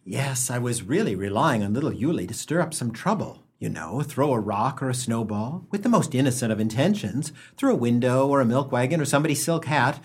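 A man's voice: medium pitch, lively intonation, brisk, few pauses, breathy edge. A man playing a sardonic, grumbling small-town husband and father in a comic radio play, wry and wordy.

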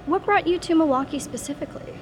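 Woman reporter asking stuff on location, bit higher voice, store noise behind. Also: quick pace. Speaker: radio reporter. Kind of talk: conversational interview. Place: inside a grocery store.

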